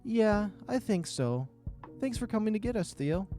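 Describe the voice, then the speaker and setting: Guy doing a polite young animal guy in a visual novel, earnest, mellow, lively pitch. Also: unhurried, faint music behind. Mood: friendly, reassuring. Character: young male animal narrator-hero, polite and considerate. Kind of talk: visual novel character reading.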